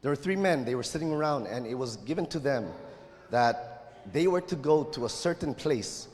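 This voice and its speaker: A man preaching a sermon while sick, his voice lower than usual, speaking in halting phrases with repeated words and pausing for an interpreter. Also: animated, darkish tone. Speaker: guest preacher. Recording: reverberant hall.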